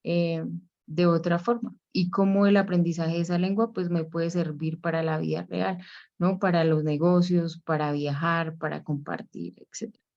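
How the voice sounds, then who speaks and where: A woman presenting an academic talk on teaching, her low voice held level and even with little rise or fall. She speaks in a measured way and pauses often on hesitation fillers. The recording sounds dull and lo-fi. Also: gravelly.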